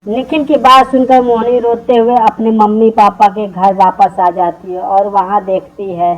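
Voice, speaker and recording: A woman's voice: mid-range voice, little pitch movement, moderate pace, muffled recording. A woman narrating a scripted story.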